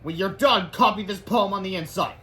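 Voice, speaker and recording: A man's voice: high-pitched, brisk, sharp tone, soft background music. A man voicing a mean, bossy female homeroom teacher in a children's story, harsh and snapping. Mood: harsh, instructing.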